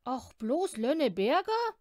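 A woman's voice: high-pitched, very animated, takes it slowly, darkish tone, no echo, clean studio sound, heavily acted. A woman voicing a cheeky, stubborn little farm boy in a storybook, with a childlike, pleading manner.

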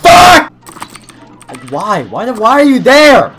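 A young man playing a video game on webcam, swearing and shouting in frustration, his voice growing hoarse. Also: very high voice, huge pitch swings, slow, loud music behind the voice. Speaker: gaming YouTuber. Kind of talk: webcam gameplay commentary. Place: small room at a desk. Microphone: desk mic beside keyboard, wearing headset.

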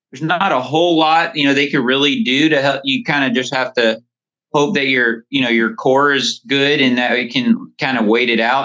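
Bright-voiced man on a dull phone line. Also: mid-range voice. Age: 30s. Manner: rambling, frequent "you know". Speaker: podcast guest, lawn care business owner. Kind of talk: casual interview storytelling. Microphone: phone call. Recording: dull, band-limited phone call.

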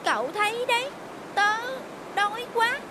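A very high, childlike rabbit voice for a fairy-tale cartoon. The friendly little animal speaks over loud background noise. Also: female voice, animated, unhurried.

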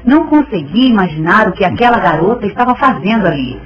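A woman's voice: medium pitch, sing-song, quick pace. A woman narrating a scripted radio drama. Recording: dull lo-fi sound. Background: music.

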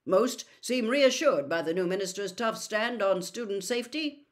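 An older woman reading a novel aloud at home, expressive, turning louder and more declaiming for quoted passages. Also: medium pitch, normal speed. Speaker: storyteller. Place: small home room with bookshelves.

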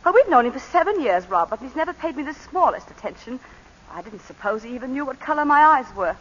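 An actress playing a composed planter's wife recounting a shooting, in 1940s radio acting. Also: female voice, high voice, very animated, quick pace. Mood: measured. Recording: muffled 1940s radio broadcast.

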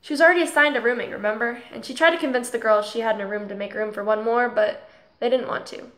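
Earnest, high-voiced teenage girl played by a woman. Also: quick pace, a bit bright, close to an everyday voice. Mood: calm, earnest. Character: earnest, tolerant, principled transgender girl student named Holly. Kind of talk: scripted audio drama dialogue, speech-like monologue.

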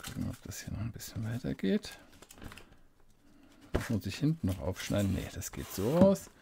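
A man giving a casual unboxing review at a desk, unhurried and thinking aloud with small fillers. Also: lowish voice, big pitch swings, creaky, mellow, slight background noise. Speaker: fountain pen reviewer. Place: indoors at a desk, hands-only camera. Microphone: studio desk microphone.

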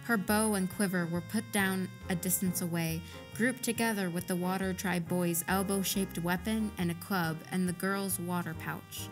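A woman's voice: lowish voice, fairly even intonation, moderate pace, faint music behind, rough recording. A woman narrator reading scripted third-person fiction for an audio drama.

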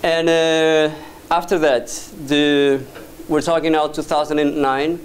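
A man from Portugal with a slightly high voice talks to an audience in a dim lecture hall. He hesitates often, restarting his sentences. A camera at the back of the room catches him from a distance with a faint hum underneath. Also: level tone, slow. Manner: hesitant, many fillers and restarts. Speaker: guest speaker, VJ and doctoral student. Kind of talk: casual presentation to an audience. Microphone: far camera at back of room.

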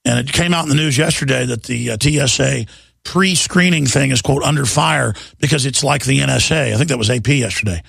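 Hoarse gravelly radio host man. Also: medium pitch, fairly quick, dry recording. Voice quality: gravelly, raspy. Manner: relaxed, rambling, repeats words. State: hoarse after pneumonia. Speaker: radio talk show host. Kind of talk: talk radio monologue with ad reads. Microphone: studio microphone.

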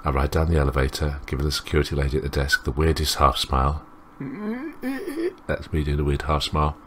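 A man's voice: very deep voice, little pitch movement, a little fast, a little hiss or hum. A man reading a visual novel aloud as a let's play host, rambling and interrupting himself with commentary.

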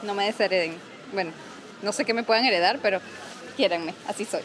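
A woman's voice: mid-range voice, brisk, a bit bright, poor audio. A woman in her late twenties from Venezuela, speaking with a Venezuelan accent in a playful, teasing vlog chat to a handheld camera. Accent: Venezuelan Spanish. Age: late 20s. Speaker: vlogger. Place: shop aisles, then a small living room. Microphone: handheld camera at arm's length.

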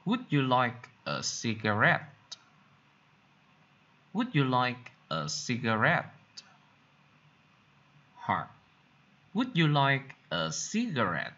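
Slow, lively Vietnamese-accented male teacher. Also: medium pitch, highs cut off. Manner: deliberate, repeats phrases for learners to copy. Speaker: English teacher. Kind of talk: slow bilingual drill, repeating each sentence.

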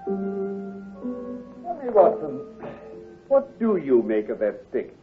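An actor playing a brisk, confident, teasing gentleman detective with a British accent, precise and patronizing, in an old-time radio drama. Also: sits high, wildly swooping pitch, slightly rough, soft background music, exaggerated voice. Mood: inquisitive. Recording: muffled, lo-fi vintage radio recording.

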